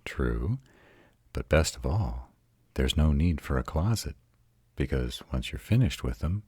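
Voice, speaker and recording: An arrogant yet devoted vampire, played by a man for a romantic roleplay audio. His voice is extraordinarily deep with a husky rasp, recorded dry and close. He speaks deliberately and tenderly, like a patient, intimate teacher. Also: lively intonation, darkish tone, no echo, heavily acted. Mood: gentle, reassuring. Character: arrogant male vampire, devoted mate and patient mentor to a newly turned partner.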